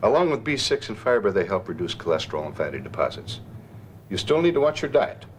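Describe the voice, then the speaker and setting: A man playing a deep-voiced, earnest doctor. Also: very animated, a little hiss or hum. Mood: calm, instructive. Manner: measured, instructive, formal. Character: calm, earnest male doctor explaining treatments. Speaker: actor playing a physician. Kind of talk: scripted dramatization, explanatory dialogue.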